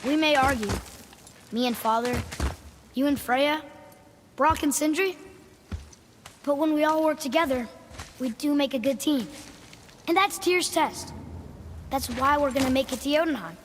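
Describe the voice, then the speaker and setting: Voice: high voice, normal speed, long pauses, fairly bright tone. A young performer playing an earnest, hopeful and curious boy in a video game, speaking with youthful sincerity. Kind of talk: video game cinematic acting. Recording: long echoing hall, noisy background.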